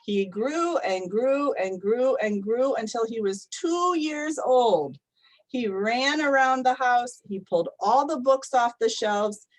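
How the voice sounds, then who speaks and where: A woman with a fairly high voice reads a children's picture book aloud. She goes very slowly, with a soft, repeating storybook rhythm. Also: expressive, rough recording.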